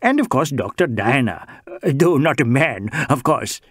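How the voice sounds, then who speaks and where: A man's voice: medium pitch, sing-song, normal speed, no echo, studio quality. A man voicing an anxious, wordy middle-aged male social worker, pleading and flustered.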